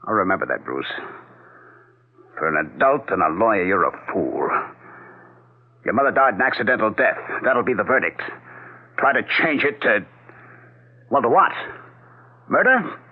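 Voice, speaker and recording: Husky, defensive husband, swooping pitch. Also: male voice, very animated, moderate pace, long pauses. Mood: defensive, indignant. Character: defensive, cornered husband, coolly condescending. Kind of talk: 1970s radio drama acting. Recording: muffled phone-like old radio broadcast.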